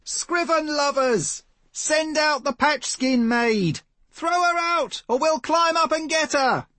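A man voicing a rough rioting mob that shouts threats from a distance. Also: very high-pitched, dry recording, full character voice. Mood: jeering. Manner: distant jeering chant, slow and drawn out.

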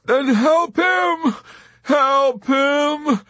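A man's voice: very high voice, very slow, sharp tone, no room sound, pitched above the performer's own voice, heavily acted. A man voicing a hysterical young male pilot in an audiobook, weeping and pleading desperately. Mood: sobbing, begging. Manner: sobbing, pleading.

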